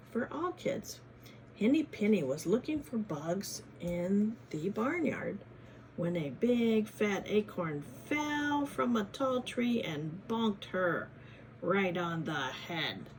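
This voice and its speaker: A woman reading a storybook to children in an expressive, teacherly way, adding chatty asides and questions. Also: fairly low pitch, creaky, slight background noise, poor audio. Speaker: children's read-aloud host, former teacher.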